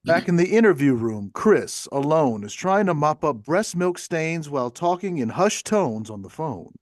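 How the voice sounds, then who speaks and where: A man narrates stage directions from a script. His voice is a little high for a man, and the sound is close and dry. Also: big pitch swings, normal speed, no room sound. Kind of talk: scripted narration at a table read.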